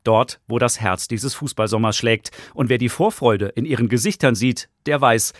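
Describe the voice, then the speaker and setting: Brief: male reporter, scripted feature voice-over, mid-pitched, dry close booth sound. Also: moderate pace, no echo. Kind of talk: scripted news feature narration.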